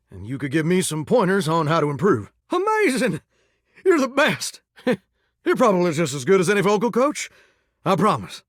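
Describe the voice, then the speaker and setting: This is a flirty, melodramatic shower come to life for a video game. The voice sits high and leaps up and down with theatrical feeling. It has a folksy Southern American accent and an effusive, would-be star's charm, captured close and dry. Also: male voice, dry recording. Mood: excited, hopeful. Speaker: dateable character in a video game. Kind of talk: animated video game voice acting.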